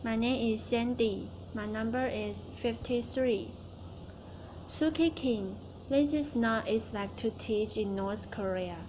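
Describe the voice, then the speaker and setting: A woman's voice: on the high side, takes it slowly, a little mellow, slight background noise, low-quality recording. A woman student giving a prepared class speech, reciting it carefully and restarting words she stumbles on.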